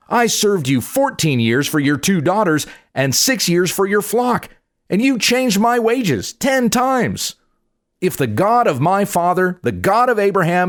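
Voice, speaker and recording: A man reading scripture aloud in the part of an aggrieved, indignant herdsman patriarch, with emphatic, declamatory delivery. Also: a little high. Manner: declamatory, emphatic, rhetorical questioning. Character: aggrieved, indignant male patriarch, a herdsman protesting twenty years' service.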